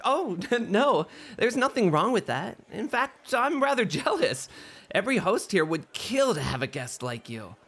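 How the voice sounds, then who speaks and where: Man acting as a high, lilting, coy bunny boy. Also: sing-song, unhurried, fairly bright tone, some chatter behind, heavily acted. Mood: teasing. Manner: coy, teasing, playful. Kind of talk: ASMR roleplay acting.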